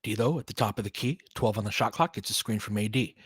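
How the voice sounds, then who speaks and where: A man's voice: fairly low pitch, fast talker, husky, no echo, studio quality. Man doing casual, partisan basketball play-by-play. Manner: informal, partisan, reacts openly to plays. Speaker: play-by-play commentator and host. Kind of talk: casual fan-style live basketball commentary.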